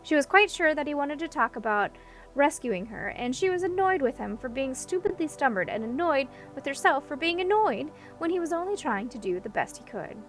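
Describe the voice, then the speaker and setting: High-voiced woman narrating a story. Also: big pitch swings, brisk, soft background music. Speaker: audiobook narrator.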